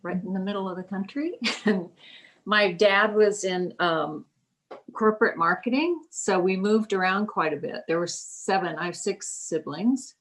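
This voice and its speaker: A woman's voice: medium pitch, measured pace, rough recording. A woman in her sixties from the US Midwest, a writer talking casually in an interview over a video call, chatty and laughing between thoughts. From Kansas, US Midwest. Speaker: guest, writer.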